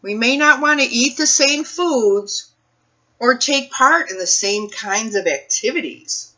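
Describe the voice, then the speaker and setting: A woman reading a picture book aloud for children's storytime, phrasing things deliberately and putting questions to her young listeners. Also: a little high, lively intonation, bright, forceful tone, dull audio.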